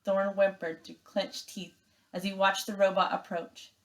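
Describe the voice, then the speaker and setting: A woman teacher reading aloud and giving step-by-step instructions to young pupils in an expressive, emphatic way. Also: mid-range voice, unhurried, rough recording.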